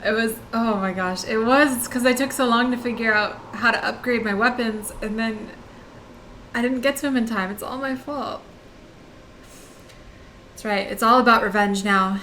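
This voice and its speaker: A woman's voice: a little high, normal speed, bright, forceful tone, a little hiss or hum, rough recording. A woman streamer giving casual, playfully dramatic commentary while playing a video game.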